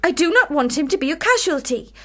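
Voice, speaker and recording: A woman's voice: sits high, sing-song, a little fast, pushed and bright, heavily acted. A woman in a radio play playing a precocious, earnest young girl with a prim, formal, childlike way of talking. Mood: pleading. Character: young Charlotte Bronte, precocious earnest girl, older sister. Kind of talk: radio drama acting.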